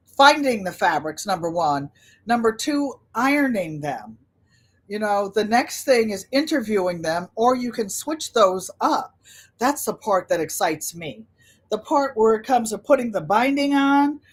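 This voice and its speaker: A woman hosting a casual livestream chat in an unhurried, rambling way, drifting between her own talk and replies to viewers. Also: fairly high pitch. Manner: unhurried, rambling, easily sidetracked. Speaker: livestream host, quilter.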